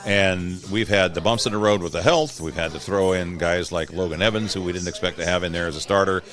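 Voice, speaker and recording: A man talking casually about sports as an interview guest, with a measured, halting delivery. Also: low voice, fairly bright tone. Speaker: guest, PA announcer and post-game radio host.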